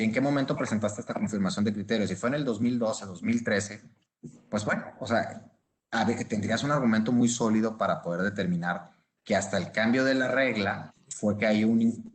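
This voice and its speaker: A man with a Mexican accent giving informal legal commentary as a panelist, restarting phrases and checking in with short question tags. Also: fairly low pitch.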